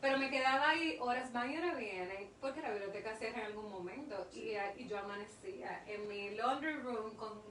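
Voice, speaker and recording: A woman in her thirties with a Dominican accent, talking through her story with earnest emphasis. She is heard from a distance in an echoey room, with a clear layer of background noise. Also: a little high, fairly quick, few pauses, fairly bright tone. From Dominican Republic. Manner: earnest, emphatic, repeats herself for stress. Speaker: interview guest, industrial engineer. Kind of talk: casual interview conversation.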